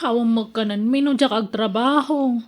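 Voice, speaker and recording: A woman's voice: a little high, moderate pace, natural voice. A woman with an Ilocano accent playing a jealous, hardworking, exasperated wife in a radio drama. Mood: pleading.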